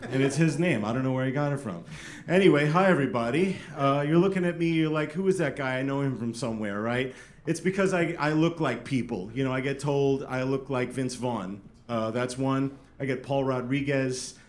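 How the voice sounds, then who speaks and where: A man's voice: medium pitch, a little fast, a little hiss or hum. A middle-aged man doing a stand-up set, talking to the crowd in a dry, self-deprecating, chatty way. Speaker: stand-up comedian. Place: comedy club stage with a laughing audience. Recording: roomy club sound with loud background.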